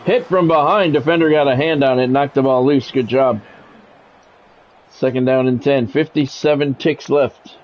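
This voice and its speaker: A man's voice: medium pitch, steady tone, moderate pace, darkish tone. A man doing football play-by-play for a video game in short, clipped phrases, with casual, dry and blunt asides. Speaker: sports video game streamer and commentator. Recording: dull, lo-fi mic with faint noise.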